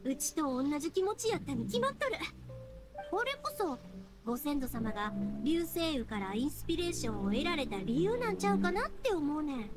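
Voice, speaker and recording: Bright young anime game heroine, Kansai accent, high and animated, earnest and folksy. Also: female voice, brisk, over music. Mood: reflective. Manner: heartfelt, folksy, expressive. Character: bright, earnest young woman fireworks maker. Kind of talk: Japanese anime game voice acting.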